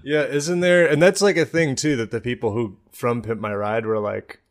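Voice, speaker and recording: A man with a mid-pitched voice talks like a comedy podcast co-host. He riffs casually and sarcastically, often dropping into little mock quotes. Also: steady tone, moderate pace.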